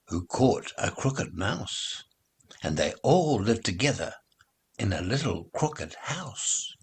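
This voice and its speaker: A man reading nursery rhymes aloud in an expressive, deliberate, sing-song way, as a recital for children. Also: lowish voice, slightly rough.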